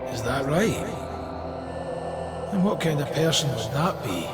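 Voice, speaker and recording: An actor playing a gruff older male guide in a video game, bemused but obliging, with a drawn-out way of talking. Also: on the high side, expressive. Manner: slow, drawn-out, calling the listener lad. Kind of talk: video game cutscene acting. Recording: loud background music.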